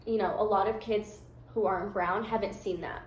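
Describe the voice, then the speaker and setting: A young American woman chatting casually, talking candidly and reflectively in a soft-spoken way. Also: medium pitch, steady tone, brisk, reverberant, a little hiss or hum, rough recording. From United States. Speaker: guest. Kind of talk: casual chat.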